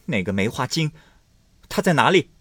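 A man voicing a jealous, sharp-tongued young imperial consort who scolds shrilly. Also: mid-range voice, huge pitch swings, a little slow, slightly rough, no room sound, clean studio sound, pitched above the performer's own voice, heavily acted. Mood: mocking.

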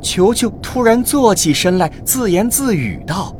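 A man's voice: sits high, very animated, unhurried. A man narrating a scripted radio drama as a storyteller. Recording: clearly noisy with ambient vehicle sound.

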